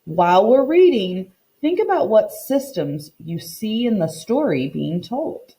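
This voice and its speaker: A woman teacher reading a storybook aloud to children in an expressive, deliberate way, pausing to teach. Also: medium pitch. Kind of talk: read-aloud lesson for children, storybook narration.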